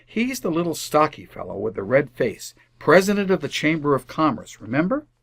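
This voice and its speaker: A man's voice: fairly high pitch, sing-song, fairly quick, creaky, no room sound, heavily acted. A man playing an earnest, stubborn and easily flustered suburban father in an old-time radio family comedy. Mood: defensive. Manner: earnest, defensive.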